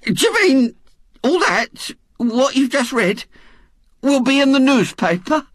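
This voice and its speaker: Storybook matron, voiced by a man: middle-aged, breathless, gushing, colloquial, pitched very high. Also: big pitch swings, takes it slowly, a bit bright, no room sound, clean studio sound, heavily acted. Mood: astonished. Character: plump gushing middle-aged woman, easily thrilled society hostess.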